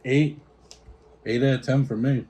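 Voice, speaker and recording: A man's voice: mid-range voice, fairly even intonation, measured pace, mellow. A man hosting a casual snack taste-test, reading out product notes and stumbling over foreign names. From United States.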